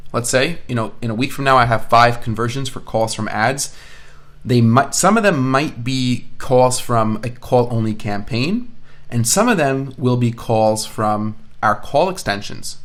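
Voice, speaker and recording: A man with a mid-range voice talks through a software tutorial at a close mic. He sounds like an online instructor thinking aloud as he explains each step. Key phrases come back in repeated patterns for emphasis. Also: mid-range voice, measured pace. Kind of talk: informal screencast software tutorial.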